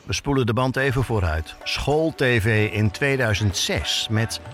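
A man's voice: mid-range voice, measured pace, a bit bright, soft background music. A man with a Netherlands Dutch accent narrating a scripted podcast as its host, telling it personally and posing rhetorical questions.

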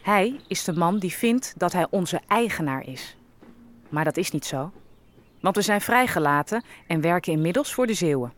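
A woman's voice: on the low side, expressive, moderate pace, close to an everyday voice. An actress playing a dignified freed captive who narrates her people's story in a historical audio drama. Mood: dignified, matter-of-fact.

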